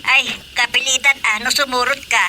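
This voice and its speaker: A woman's voice: high voice, little pitch movement, fairly quick, bright, forceful tone, slight background noise. A woman with an Ilocano accent playing a talkative, fussy mother in a radio drama comedy. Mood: urging.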